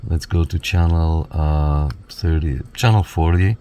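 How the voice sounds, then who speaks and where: A man's voice: extremely low pitch, measured pace, mellow, slight background noise. A man reviewing a piece of equipment, casually talking viewers through it step by step in an instructional way. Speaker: radio reviewer giving a demonstration. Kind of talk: casual hands-on product walkthrough.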